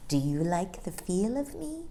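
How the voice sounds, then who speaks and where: A woman voicing the talking sunlight, soft and apologetic, in a storybook reading. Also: on the low side, very animated, a little slow. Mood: gentle. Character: gentle, cheerful talking sunlight.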